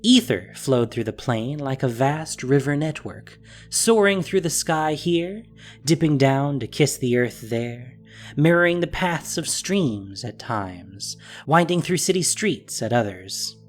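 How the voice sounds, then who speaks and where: A man's voice: medium pitch, expressive, measured pace. A man reading scripted story narration as the narrator of an audio drama. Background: music.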